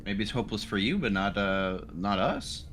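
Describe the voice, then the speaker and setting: A man playing a tabletop roleplaying game, talking casually and a little haltingly. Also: lowish voice, lively intonation, a bit bright, soft background music.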